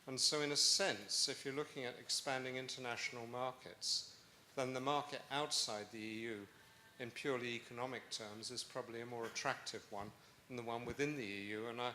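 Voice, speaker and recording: An older man speaks up from the audience with a measured, self-assured point. His voice is bright and carries the echo of a large room, over faint background ambience. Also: mid-range voice, steady tone, a little hiss or hum. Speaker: audience member, emeritus professor. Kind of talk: audience question at a conference.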